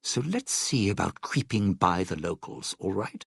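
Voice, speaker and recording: Casting: a world-weary, sardonic showman in a video game; husky male voice, dry close sound, old-time slang and sly patter. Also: low-pitched, big pitch swings, measured pace, no room sound, exaggerated voice. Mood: smooth, conspiratorial. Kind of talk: video game companion voice lines.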